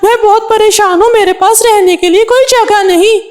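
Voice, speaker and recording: A woman's voice: very high voice, steady tone, moderate pace, a little mellow. A woman voicing a homeless male cartoon parrot, polite, earnest and plaintive in a children's story. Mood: pleading. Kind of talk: Hindi children's cartoon dialogue.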